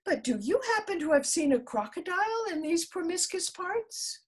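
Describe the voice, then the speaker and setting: A woman's voice: very high voice, sing-song, moderate pace, pitched above the performer's own voice. A woman voicing a young male elephant child in a storybook, very polite, earnest and curious.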